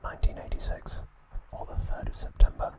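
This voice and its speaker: A man narrates in a full, breathy whisper, with no voiced tone. He speaks as an ASMR host giving a recap. His delivery is slow and measured, one phrase at a time.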